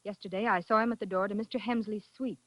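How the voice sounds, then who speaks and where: A woman's voice: medium pitch, steady tone, fast talker, dry recording, natural voice. An actress playing an earnest, anxious woman, an investigator's sidekick, in 1940s radio drama style. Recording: dull, band-limited 1940s radio recording.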